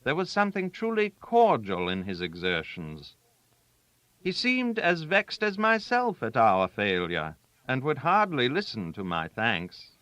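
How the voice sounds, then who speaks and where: A man narrating a literary story aloud, with a theatrical, wry manner and deliberate emphasis on key words. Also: mid-range voice, sharp tone, no echo. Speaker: audiobook narrator.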